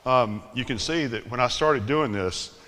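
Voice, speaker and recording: An older man giving a conference talk in a slightly monotone, relaxed, self-deprecating way, with plenty of fillers. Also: mid-range voice, unhurried. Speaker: conference speaker. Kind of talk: conference presentation. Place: conference hall. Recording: long hall reverb.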